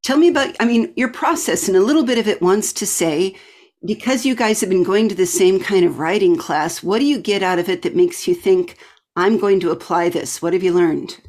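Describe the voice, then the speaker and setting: A woman's voice: mid-range voice, brisk, a little mellow. A woman interviewing writers, putting her questions in a conversational way.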